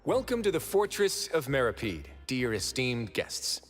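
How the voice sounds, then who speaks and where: An actor playing a shrewd, composed prison duke in an anime video game, sardonic, unhurried and self-assured. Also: male voice, mid-range voice, sing-song, soft background music. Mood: wry, composed.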